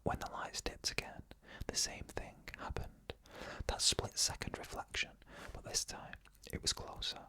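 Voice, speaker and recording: Whispering ASMR storyteller, crisp breath. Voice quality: unvoiced whisper throughout. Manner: hushed, slow, suspenseful storytelling. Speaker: ASMR narrator.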